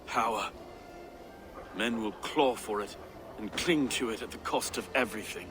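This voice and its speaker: A man's voice: lowish voice, moderate pace, music in the background, lightly acted. A man playing a reflective, solemn nobleman. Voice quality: reflective, grave.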